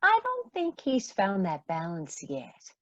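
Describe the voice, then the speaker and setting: A woman's voice: medium pitch, wildly swooping pitch, takes it slowly, a bit bright, no echo, muffled recording, full character voice. A woman acting a caring, middle-aged mother in a radio play. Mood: earnest, kindly. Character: caring, generous mother, a singer struggling with money.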